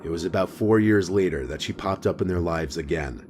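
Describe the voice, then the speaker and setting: Low-voiced guy reading a spooky doc script, steady and even, music going underneath. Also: brisk. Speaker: narrator. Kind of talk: scripted documentary narration. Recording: voice-over with clear music behind.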